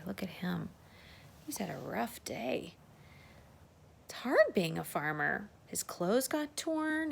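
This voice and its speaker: A woman's voice: medium pitch, vocal fry. A woman reading a children's storybook aloud as a circle-time teacher, adding chatty asides, exclamations and questions for young listeners.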